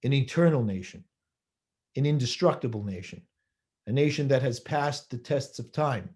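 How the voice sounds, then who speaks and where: Male speaker, mellow voice, measured sermon delivery with rhetorical questions. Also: mid-range voice, animated. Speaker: rabbi giving a holiday talk.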